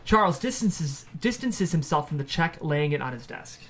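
Higher-voiced man narrating a screenplay. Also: very animated, moderate pace, a bit bright, slight background noise. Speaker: stage-directions narrator.

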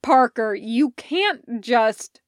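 A woman voicing a gruff, curt, crabby but soft-hearted man in a story. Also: a little high, lively intonation, extremely slow, no room sound, studio quality. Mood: exasperated. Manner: growling, curt, exasperated. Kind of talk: storybook character voice.

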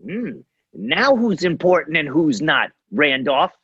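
A man's voice: a little high, very animated, slow, fairly bright tone, breathy edge, dry recording, lo-fi sound, heavily acted. A man voicing William, a smug, scheming young royal heir, with a put-on Polish accent and a puffed-up, snooty delivery.

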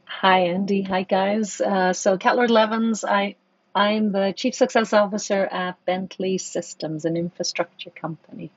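Husky-voiced woman, podcast guest. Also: medium pitch, fairly even intonation, measured pace, no room sound, highs cut off. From Ireland. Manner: thoughtful, many restarts and fillers. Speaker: guest, corporate executive. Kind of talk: conversational podcast interview.

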